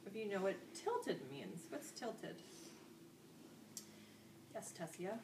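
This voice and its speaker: A woman's voice: medium pitch, very animated, takes it slowly. A woman working as an elementary school teacher leads an interactive vocabulary lesson, repeating key words and prompting the children with questions. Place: classroom, recorded from a distance. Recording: roomy, distant microphone with faint background noise.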